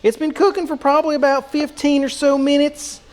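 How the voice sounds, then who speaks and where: A man putting on an American Southern country accent as a goofy, excitable countryman, rambling casually to a livestream chat in a folksy drawl. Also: extremely high pitch, brisk, voice pushed up. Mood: folksy, cheerful. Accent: put-on American country, Southern. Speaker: live streamer cooking for chat.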